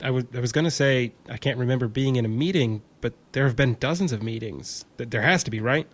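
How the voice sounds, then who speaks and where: A man's voice: medium pitch, fast talker, lightly acted. A man acting in an audio drama as an anxious, defensive artificial intelligence, hesitant and stammering, evasive and argumentative. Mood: confused, hesitant.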